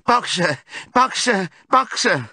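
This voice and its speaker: A devoted mare from an animal storybook, played by a man, calling out in desperation. The cries are short, very high and forceful. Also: big pitch swings, slow pace, husky, dry recording, full character voice. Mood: desperate. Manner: crying out in short, desperate calls. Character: desperate, devoted female farm horse crying to her friend.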